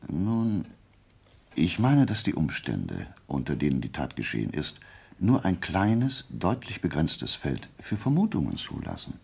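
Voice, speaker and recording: An actor playing a brilliant, self-assured detective in a German radio play, deliberate and pedantic, musing with emphatic pauses. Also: male voice, extremely low pitch, wildly swooping pitch, gravelly, darkish tone, slightly rough, exaggerated voice. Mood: analytical, assured.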